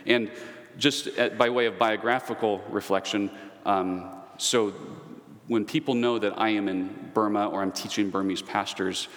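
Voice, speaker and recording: A man with a fairly low voice delivers a relaxed, conversational lecture. He drifts into side comments and stories from his own teaching. His voice rings out with the long reverb of a large hall. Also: a little slow. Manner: digressive, asides and personal anecdotes. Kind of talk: conversational church history lecture.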